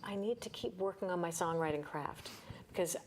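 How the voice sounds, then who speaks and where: A woman's voice: lowish voice, a little fast, darkish tone, a little hiss or hum. A woman in her fifties, a singer-songwriter and vocal coach, talking candidly and chattily as a guest in a casual interview.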